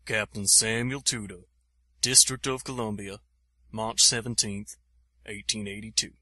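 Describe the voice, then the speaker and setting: A man's voice: fairly low pitch, steady tone, unhurried, bright, forceful tone, no room sound, heavily acted. A man playing an articulate nineteenth-century army captain, delivering a formal, eloquent and precise first-person account. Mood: formal, reflective. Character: articulate 1880s American army captain giving written account.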